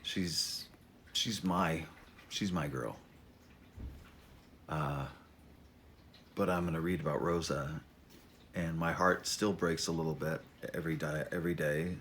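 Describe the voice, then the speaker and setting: A man introducing his own memoir as an author, talking informally and reading dramatically, with halting self-interruptions, wry asides and theatrical emphasis. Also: extremely low pitch, steady tone, fairly bright tone, a little hiss or hum.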